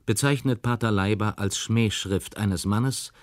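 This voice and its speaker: A formal male narrator played by an actor reads documentary commentary in a radio play. His voice is lowish and factual, in a dry studio sound. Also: measured pace, no echo, close to an everyday voice. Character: formal male narrator reporting a critic's verdict.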